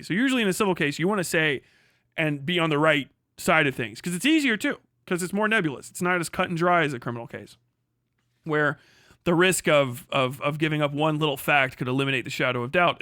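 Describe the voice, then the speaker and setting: A man hosts a loose commentary stream and explains at length, swearing and wandering off on tangents. His voice is bright, pitched a little high, and recorded close and dry. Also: dry recording. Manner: profane, animated, rambling explanation. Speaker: streamer host.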